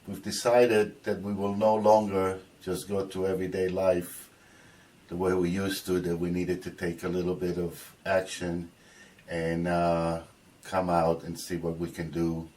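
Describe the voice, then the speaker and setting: A man from New Jersey answering interview questions, halting with many fillers and restarts. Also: sits low, completely flat delivery, darkish tone, distant mic. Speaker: interviewee, biker group co-founder.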